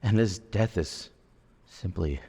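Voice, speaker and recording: A man playing a menacing, traumatized war veteran turned killer suspect in an audio drama, with a gravelly, raspy voice and a halting, brooding delivery. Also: sits low, warm tone, exaggerated voice. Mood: coldly controlled. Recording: long cavernous reverb.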